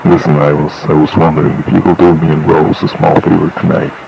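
A man playing a working family man on a phone call, speaking casually and haltingly in a horror cartoon. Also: very deep voice, level tone, gravelly, darkish tone. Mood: hesitant, friendly. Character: weary working family man asking a friend a favor. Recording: dull, noisy lo-fi phone recording.

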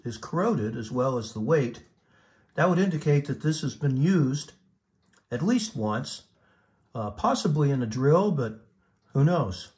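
A middle-aged man giving an informal, matter-of-fact demonstration, speaking haltingly as he explains equipment. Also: fairly high pitch, darkish tone. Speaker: collector demonstrating vintage equipment. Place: indoors in a room at home.

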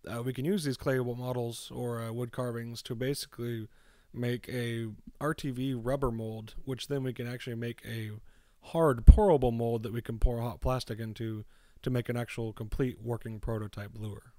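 A man's voice: mid-range voice, fairly even intonation, normal speed, dark tone, no room sound, clean studio sound. A young man who makes fishing lures explains mold making step by step in a voice-over, often saying "actually". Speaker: lure maker. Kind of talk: demonstration voice-over.

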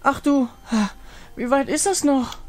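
A man's voice: very high voice, measured pace, pitched above the performer's own voice. A man voicing a naive, eager young girl in a game story, with plaintive, wide-eyed questioning. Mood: whining. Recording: music behind the voice.

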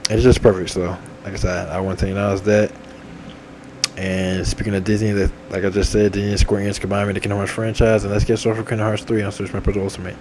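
A man in his twenties talking casually and repetitively over a game, enthusiastic and full of shout-outs. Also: on the low side, flat delivery, quick pace, noisy background. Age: 20s. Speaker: gaming channel host. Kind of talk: rambling casual monologue over game menu. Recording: faint game music behind. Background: faint game menu music.

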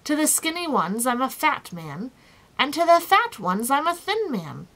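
A woman's voice: sits high, big pitch swings, measured pace, a bit bright, voice pushed up, full character voice, low-quality recording. A woman voicing a proud, cheerful, fussy ordinary-sized man in a storybook, with an emphatic, self-important manner. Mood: impatient, confiding.

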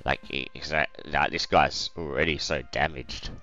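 A man with a croaky voice hosting a let's play, casually thinking aloud over a strategy game with lots of ums. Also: a bass voice, fairly even intonation, moderate pace, sharp tone, muffled recording, faint music behind.

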